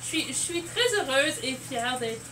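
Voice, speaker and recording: A woman's voice: high voice, lively intonation, normal speed. A woman giving a casual, hesitant interview answer. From Ontario, Canada. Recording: distant mic, noisy watery background.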